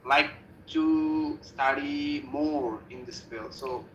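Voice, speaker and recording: A man's voice: mid-range voice, slow, a bit bright, dull audio, slight background noise. A young man in his twenties with a Bangladeshi English accent, answering practice questions hesitantly and at length. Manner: hesitant, long searching answers. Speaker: practice student. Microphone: video call. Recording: echoey room on a call.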